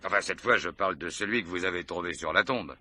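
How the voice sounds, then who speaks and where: An actor playing a probing, authoritative police commissioner in a French radio mystery, questioning briskly and correcting people. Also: male voice, low voice, bright, forceful tone, no room sound, full character voice. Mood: probing, pensive. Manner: inquisitive, brisk, corrects others.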